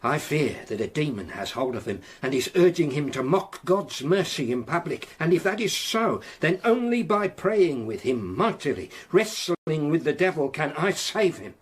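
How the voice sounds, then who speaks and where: A fervent, reproving preacher in a historical radio drama. His voice is fairly high and husky, with sweeping, animated pitch and a solemn, righteous delivery. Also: moderate pace, few pauses. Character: stern, zealous grey-haired Puritan preacher, fervent and reproving. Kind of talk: period radio drama acting.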